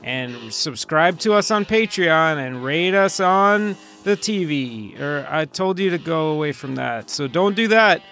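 A man's voice: fairly high pitch, expressive, measured pace, hardly any pauses, sharp tone, soft background music. A man hosting a casual podcast, bantering in a rambling, dryly joking way. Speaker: podcast host.

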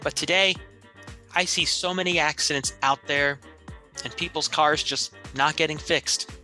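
A man with a very bright, forceful voice on the high side performs improvised spoof radio commercials. He talks like an announcer pitching a product, and music plays clearly behind him. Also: fairly even intonation, unhurried.

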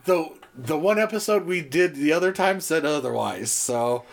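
A man's voice: fairly high pitch, animated, moderate pace, gravelly, a crowd in the background. A man co-hosting a podcast chat, rambling from one point to the next, dropping fillers and the odd swear. His voice is starting to wear out. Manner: rambling, many fillers and restarts, swears casually. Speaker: podcast co-host. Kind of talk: casual chat.

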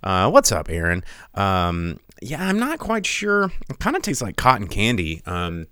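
Rambling low-voiced man hosting. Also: wildly swooping pitch, unhurried, sharp tone, clean tone, no room sound, studio quality. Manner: rambling, full of ums and restarts; loose and jokey. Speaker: podcast host. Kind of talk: casual livestream chat.

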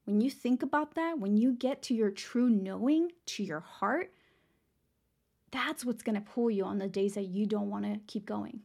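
A young adult woman from Texas hosting a casual motivational podcast, her voice raspy and worn, chatty and upbeat as she talks straight to her listeners. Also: medium pitch, normal speed, dark tone. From San Antonio, Texas. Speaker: podcast host.

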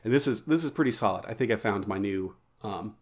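A man's voice: lowish voice, expressive, a little fast. A man co-hosting a podcast, telling jokey, rambling stories in casual banter. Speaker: podcast co-host.

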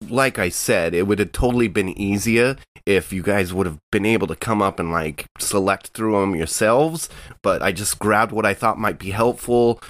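A man's voice: fairly low pitch, moderate pace, a bit bright, no echo. A man playing a tabletop role-playing game, describing his character's actions in a rambling, laid-back way. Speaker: player.

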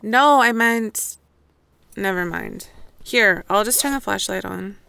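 Wry, creaky-voiced young woman. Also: mid-range voice, slow pace, pushed and bright, no echo, heavily acted. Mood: wary. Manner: casual, wry, self-interrupting. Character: guarded, wry young woman returning home for a funeral. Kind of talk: naturalistic audio drama monologue and dialogue.

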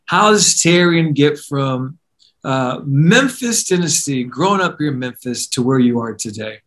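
A man hosting an interview podcast, upbeat and enthusiastic, with quick eager reactions. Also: medium pitch, animated, a bit bright. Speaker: podcast host. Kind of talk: casual interview.

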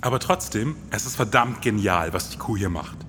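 Lively low-voiced man, big reverb. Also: moderate pace, a little hiss or hum. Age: 40s. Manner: enthusiastic, colloquial. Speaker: podcast co-host. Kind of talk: scripted, performative podcast narration. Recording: long reverb, music underneath.

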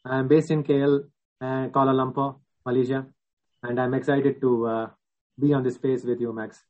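A man co-hosting a podcast, introducing himself in a plain, steady way with a few fillers. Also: mid-range voice, moderate pace. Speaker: podcast co-host. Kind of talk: casual self-introduction. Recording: dull, lo-fi remote audio.

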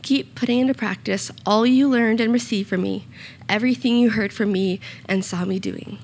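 A young woman giving a short church sermon with a slightly raspy voice, earnest and personal, with small self-conscious asides. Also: mid-range voice, moderate pace, a little mellow, slight background noise. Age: young adult. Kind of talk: sermon with scripture reading and prayer. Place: church room.

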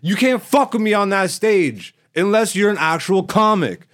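A man's voice: high-pitched, animated, normal speed. Emphatic, profane comedian man chatting on a podcast. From born in Greece, Ethiopian by blood. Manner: slangy, profane, emphatic storytelling. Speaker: stand-up comedian and podcast host. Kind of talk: casual, animated podcast chat.

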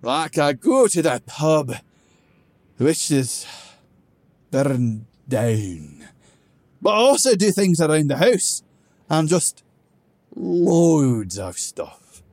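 A loud, high, slurring old dwarf warrior, as a man puts him on. He is bitter and mocking, with his voice leaping all over the place. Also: sing-song, extremely slow, long pauses, no echo, heavily acted. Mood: defensive. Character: drunk, sarcastic, battered old male dwarf warrior.

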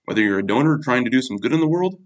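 Fast-talking male podcast host, mid-pitched, friendly, conversational. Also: expressive. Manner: brisk scripted intro, then friendly interjections. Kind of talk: podcast interview.

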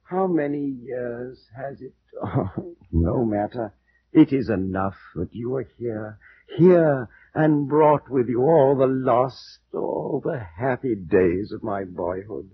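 An actor playing an ailing, nervous gentleman who swings between frenzy and gloom in 1940s radio style. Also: medium pitch, lively intonation, takes it slowly. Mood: frantic, apologetic. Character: ailing, haunted, nervous gentleman, feverishly excitable. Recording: muffled, noisy old radio recording.

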